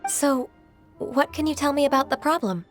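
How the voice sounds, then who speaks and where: An actress playing a dutiful, modest secretary in a video game, formal, composed and deferential. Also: female voice, high-pitched, expressive, normal speed, soft background music. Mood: composed, helpful. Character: polite, modest, dutiful young-sounding woman secretary.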